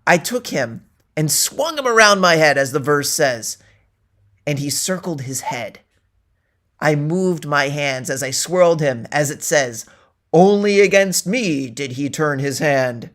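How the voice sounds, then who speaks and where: A man giving a deliberate, theatrical dramatic reading of a satirical story, declaiming its quoted verses. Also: a little high, lively intonation, a bit bright. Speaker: reader.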